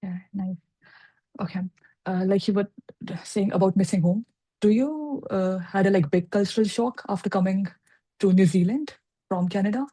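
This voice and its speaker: A woman's voice: medium pitch, normal speed, warm tone. A woman hosting a casual interview, asking questions informally with lots of "like" fillers. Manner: informal, many "like" fillers, short reactions. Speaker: host, interviewer.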